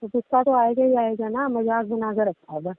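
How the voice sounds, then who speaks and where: A woman's voice: fairly high pitch, moderate pace, close to an everyday voice. A young woman playing a married daughter who chats and complains casually in a staged phone conversation. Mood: annoyed. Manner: complaining, chatty. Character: aggrieved young married daughter confiding in father. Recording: tinny, dry phone-call audio.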